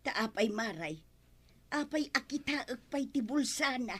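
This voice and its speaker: An actress with an Ilocano Filipino accent playing a nagging, suspicious village wife in a radio comedy drama. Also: female voice, high voice, animated, unhurried, a bit bright, breathy edge, exaggerated voice. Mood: puzzled, suspicious.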